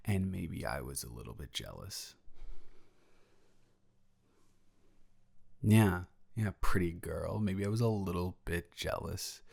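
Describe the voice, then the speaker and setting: Man playing a low-voiced, sheepish young roommate. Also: expressive, gravelly, a little mellow, breathy edge, exaggerated voice. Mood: confessing, intense. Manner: hesitant, casual, halting with pauses. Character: protective male roommate, sheepish, secretly jealous best friend. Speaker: audio roleplay performer. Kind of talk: improvised intimate roleplay monologue.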